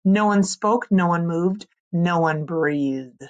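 A woman in her thirties reading a children's story aloud like a teacher, expressively, with dramatic drawn-out emphasis on key words. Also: fairly low pitch, dull audio. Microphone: computer mic on a screen-share video recording.

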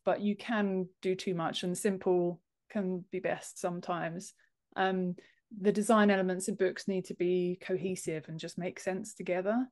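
A woman's voice: on the low side, a little mellow. A woman in her thirties speaking as an interview guest, informal and emphatic, on a video call. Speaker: podcast guest, author and book formatter. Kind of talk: casual interview explanation. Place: home office room, indoors. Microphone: earphone headset on a video call.

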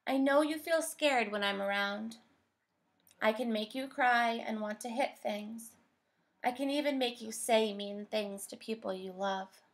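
A woman voicing a hairy red creature made of anger, speaking slowly and soothingly in a storybook read-aloud. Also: medium pitch, gravelly, fairly bright tone.

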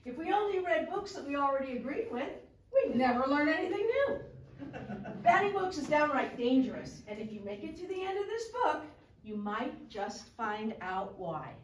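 Lively woman in a roomy hall. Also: sits high, slight background noise. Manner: lively, animated storytelling reading. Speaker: retired librarian speaker. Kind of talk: public talk reading aloud. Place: large community hall, indoors. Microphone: far camera. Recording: roomy hall recorded from far off.

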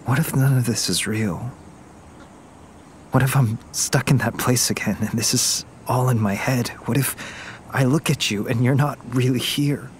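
A man's voice: medium pitch, normal speed, slight background noise, lightly acted. An actor playing a vulnerable young man back from military service in an audio drama, hesitant and earnest. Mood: anguished. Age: young adult. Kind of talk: naturalistic audio drama acting.

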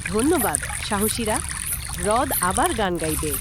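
A man's voice: high-pitched, big pitch swings, unhurried. A man voicing a sad silver magic fish with a fading heart in a children's cartoon. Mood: grateful. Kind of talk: children's cartoon character dialogue. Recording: loud watery noise behind.

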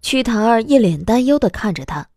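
Woman telling a story audiobook style, highish expressive voice, faint music behind. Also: brisk, no echo. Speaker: narrator. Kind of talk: dramatic audiobook narration. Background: soft background music.

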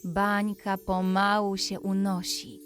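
A woman narrating a scripted bedtime story in a gentle, soothing manner, as if guiding a child toward sleep. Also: mid-range voice, flat delivery, takes it slowly. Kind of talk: slow scripted bedtime story with guided relaxation. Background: background music.

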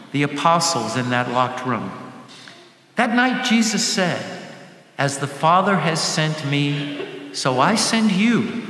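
Older guy giving a church homily, voice goes up and down a lot, big echoey hall, pauses for effect. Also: a little high, fairly bright tone. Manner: deliberate, with short phrases and dramatic pauses. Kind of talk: sermon. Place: large church sanctuary, at the lectern. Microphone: gooseneck lectern microphone. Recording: echoey church hall with faint background noise.

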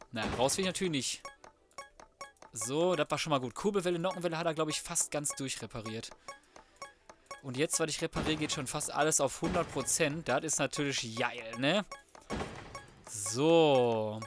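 A man giving casual let's play commentary, colloquial and mildly sweary, often muttering to himself. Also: a little high. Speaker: gaming video host.

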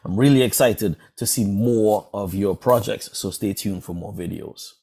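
A man's voice: lowish voice, moderate pace. A man speaking as an artist in an upbeat, encouraging video message to his peers. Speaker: artist and grant awardee.

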